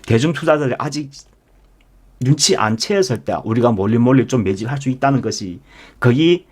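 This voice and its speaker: A man's voice: medium pitch, a little mellow. A man lecturing live as a stock investment instructor, emphatic, often addressing listeners directly with rhetorical questions. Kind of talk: live market lecture with membership pitch.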